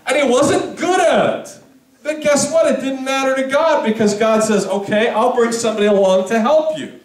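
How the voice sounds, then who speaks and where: Guy preaching in a church, casual chatty sermon, high lively voice, kinda far from the mic. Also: normal speed, roomy sound. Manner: conversational, chatty preaching with asides and audience questions. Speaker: pastor. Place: church sanctuary.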